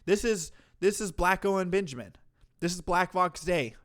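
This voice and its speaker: A man's voice: sits high, expressive, normal speed, a bit bright. Profane, mocking male streamer. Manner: mocking, profane, talks back at a clip. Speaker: commentary streamer host. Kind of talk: livestream reaction commentary.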